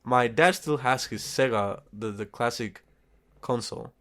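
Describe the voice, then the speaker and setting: A man's voice: medium pitch, unhurried, a bit bright. A man co-hosting a film review podcast in casual conversation, repeating phrases for emphasis and swearing offhandedly. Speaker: podcast co-host reviewing films. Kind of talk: casual chat.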